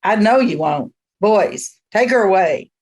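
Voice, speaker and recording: A woman's voice: medium pitch, animated, a little slow, a little mellow, lightly acted. A woman playing a stern, haughty leader of a rural witch coven in a radio play, speaking in a formal, condescending way. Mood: cold, commanding.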